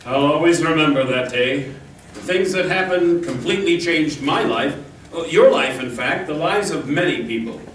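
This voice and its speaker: A man's voice: fairly high pitch, normal speed, fairly bright tone, natural voice. A man playing an earnest, fatherly cowboy hero telling his past in an old-time radio western. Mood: earnest, solemn. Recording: distant echoey live stage recording, faint noise.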